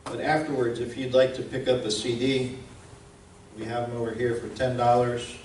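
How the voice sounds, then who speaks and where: A man's voice: mid-range voice, little pitch movement, moderate pace, a little mellow. A man giving an informal slide presentation to an audience as the presenter. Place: indoor room at a live presentation. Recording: echoey room, distant mic, faint noise.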